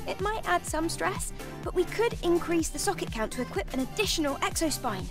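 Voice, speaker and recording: A woman's voice: extremely high pitch, animated, brisk, far from the mic, music in the background. A woman playing an earnest, girlish young engineer companion in a video game. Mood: helpful, earnest. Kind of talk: cinematic video game dialogue.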